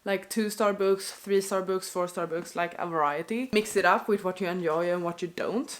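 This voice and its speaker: A young woman with a stuffy nose from a cold, chatting casually to camera in an unscripted rant, apologetic and full of "like" fillers. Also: mid-range voice. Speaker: book vlogger.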